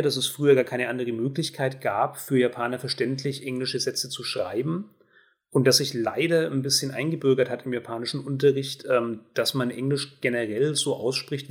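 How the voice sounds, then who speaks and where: Man hosting a casual podcast. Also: mid-range voice. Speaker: podcast host. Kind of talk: casual explanatory podcast talk.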